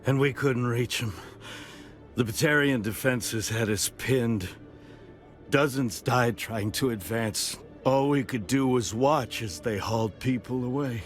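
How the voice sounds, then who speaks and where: A man's voice: mid-range voice, measured pace, close to an everyday voice. An actor playing a bitter, haunted middle-aged male ex-marine who drinks, weary and begging in a video game. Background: game music.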